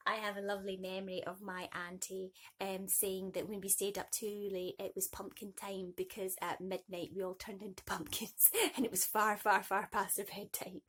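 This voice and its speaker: A woman reading children's bedtime stories expressively, with playful, nurturing asides to young listeners. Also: medium pitch. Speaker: bedtime story reader.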